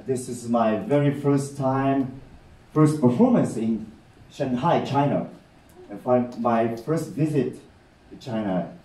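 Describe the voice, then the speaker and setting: Animated Japanese-accented man telling stories. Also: mid-range voice. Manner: animated, warm explaining with gestures and frequent "okay?" checks. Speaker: rakugo storyteller. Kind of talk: live comic storytelling to an audience. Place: meeting room, indoors. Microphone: far camera in the audience. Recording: roomy sound from a distant camera.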